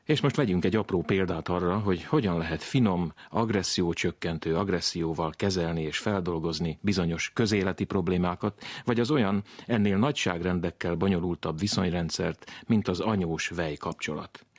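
A man narrating a radio science program in a measured, lightly ironic scripted style. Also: low voice, husky, no room sound.

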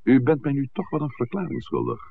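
An actor playing a dignified, evasive village priest in a 1960s Dutch radio drama, speaking formally and deliberately. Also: male voice, medium pitch, animated, vocal fry. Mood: grave, courteous. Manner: formal, deliberate, wordy, hesitant at times. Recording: muffled, noisy old radio recording.

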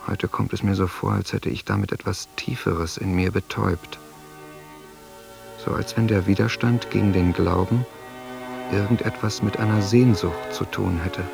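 An actor in a radio drama playing a brooding grown son who reads his diary in a sombre, introspective, measured way. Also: lowish voice, fairly even intonation, creaky, music in the background.

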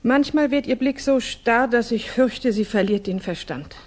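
A woman's voice: on the high side, measured pace. An actress playing an earnest, worried young Russian woman of good family in a 1960s German radio drama, grave and formal.

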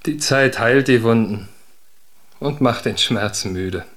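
A man's voice: medium pitch, takes it slowly, a bit bright. A man playing a troubled knight who moves between bitter outbursts and weary grief. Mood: mournful. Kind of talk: radio drama acting.

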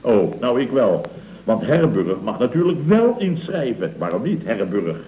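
Blustering contractor boss, middle-aged, stammering, grumbling, repetitive, comic radio drama, echoey room, muffled old recording. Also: male voice, fairly low pitch, sing-song, moderate pace. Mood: grumbling, scornful. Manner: stammering, repeating himself, grumbling. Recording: dull, roomy old radio recording with hiss.

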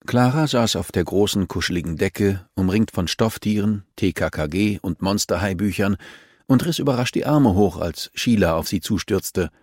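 A man's voice: fairly low pitch, expressive, moderate pace, darkish tone, no room sound, clean studio sound. A man reading scripted fiction as an audiobook narrator.

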